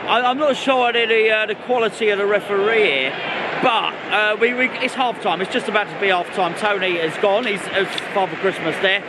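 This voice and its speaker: A man's voice: high-pitched, brisk. A man hosting a fan vlog, commentating and interviewing mates with matey, sweary banter. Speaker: fan channel host and interviewer. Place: outdoors in a crowded football stadium. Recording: loud stadium crowd, noisy outdoor camera audio.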